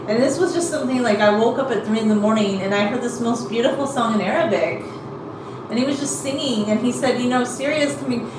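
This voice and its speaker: A woman's voice: on the high side, fast talker, reverberant, far from the mic, noisy background. A woman chatting casually in a rambling way with many fillers while she combs out her hair. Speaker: vlogger.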